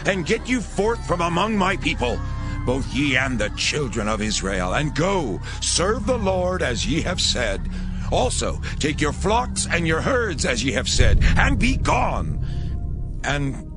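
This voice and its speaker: A man's voice: fairly high pitch, big pitch swings, unhurried. Guy doing an upset bossy egyptian king, voice jumps all over, bible drama, music behind him. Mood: urgent, distraught. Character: distraught, commanding male Egyptian king, urgently dismissing people. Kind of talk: dramatized scripture reading.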